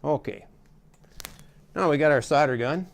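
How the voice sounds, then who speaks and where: A man's voice: a little high, unhurried, creaky, a little hiss or hum. A middle-aged man giving a casual, hands-on tutorial in a folksy, step-by-step way. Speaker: how-to host demonstrating a repair.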